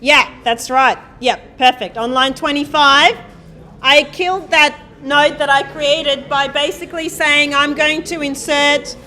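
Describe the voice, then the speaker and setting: A woman giving a live coding lecture, talking loudly and quizzing the class as she types. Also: high voice, steady tone, unhurried. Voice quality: loud voice. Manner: chatty, asks the class questions, thinks aloud while typing. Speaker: university programming lecturer. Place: lecture hall.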